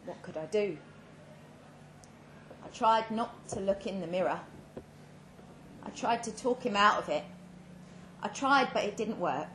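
A woman reading a first-person short story dramatically, measured and with deliberate pauses. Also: medium pitch, animated. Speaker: story reader. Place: live reading event in an echoing room. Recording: roomy live venue with faint background noise.

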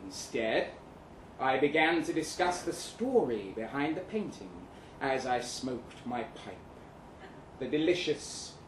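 An actor playing a boastful, lecherous Russian soldier in a florid, theatrical live story reading, savouring each phrase. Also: male voice, fairly high pitch. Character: boastful, lecherous crippled Russian soldier advising lovelorn friend. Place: indoor live reading event in London venue. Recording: roomy live venue, background noise.

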